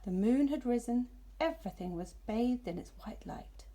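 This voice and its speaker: Mellow, lilting woman reading a storybook. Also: a little high, lively intonation, normal speed. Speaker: storybook reader. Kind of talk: expressive children's story narration.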